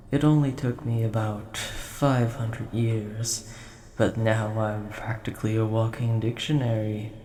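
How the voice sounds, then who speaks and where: A man plays a huge, age-old dragon with a scholarly bent, gentle and formal. He rambles unhurriedly in a dark, relaxed tone, like a storyteller in an audio roleplay. The sound is roomy and echoing. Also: on the low side, vocal fry. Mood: proud, playful. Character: large ancient nerdy dragon, gentle, scholarly, coin collector. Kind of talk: scripted audio roleplay addressed to the listener. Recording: roomy echo, faint background noise.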